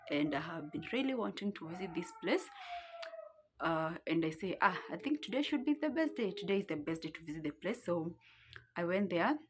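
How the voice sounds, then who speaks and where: Rambling young Kenyan woman, low voice. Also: background noise. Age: young adult. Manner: rambling, searching for words. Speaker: vlogger. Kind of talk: casual storytelling vlog. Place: her home, seated on the floor.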